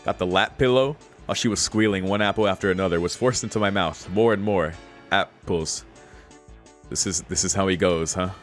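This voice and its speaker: A man's voice: sits low, fairly quick, soft background music. A man streaming a game, reading its text aloud and reacting casually with slangy exclamations and swearing. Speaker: gaming streamer reading a visual novel aloud.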